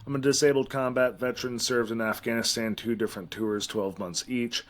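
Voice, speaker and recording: A man's voice: medium pitch, fairly even intonation, a little fast. A man who is a veteran, appearing as a guest on a podcast and introducing himself in a dry, wry way. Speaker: guest, veteran and political commentator. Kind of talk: casual podcast interview.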